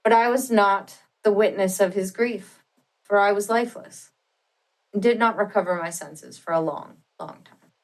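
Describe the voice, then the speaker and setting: A woman narrating an audiobook of a classic novel in a dramatic, measured and expressive literary style. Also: mid-range voice, poor audio.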